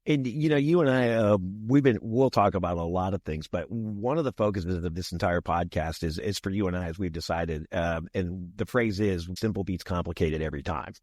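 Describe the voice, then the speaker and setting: A man's voice: deep voice, normal speed, hardly any pauses, darkish tone, dry recording, clean studio sound. A man in his sixties co-hosting a podcast, talking in a folksy, repetitive way with the odd chuckle. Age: 60s. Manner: folksy, repeats himself, chuckles. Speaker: podcast co-host. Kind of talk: casual podcast discussion.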